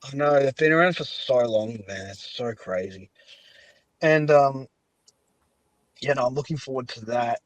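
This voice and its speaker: A man's voice: medium pitch, no room sound, low-quality recording. A man hosting a podcast in casual chat, talking in an enthusiastic, rambling, chatty way. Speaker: podcast host and fan-dub maker.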